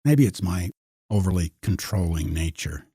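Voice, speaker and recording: A man with a husky voice talking in a wry, musing way as a podcast host. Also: deep voice, lively intonation, moderate pace, warm tone, no room sound, clean studio sound. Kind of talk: conversational podcast discussion.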